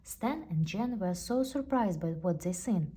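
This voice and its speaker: Animated woman storyteller with non-native English accent. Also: mid-range voice, normal speed, breathy edge. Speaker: storybook reader. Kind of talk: expressive children's storybook narration. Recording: faint background noise.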